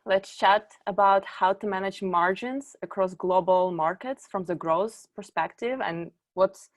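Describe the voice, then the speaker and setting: A middle-aged woman from Ukraine giving a conference presentation, explaining in a measured way and lifting her voice on key words. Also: mid-range voice. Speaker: conference speaker, company executive.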